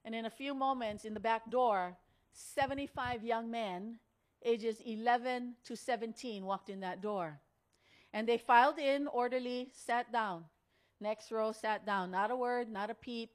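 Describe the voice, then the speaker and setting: A middle-aged or older woman, giving a motivational storytelling speech in an earnest, deliberate way with dramatic pauses. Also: fairly high pitch. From Hawaii. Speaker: speaker at a women's conference.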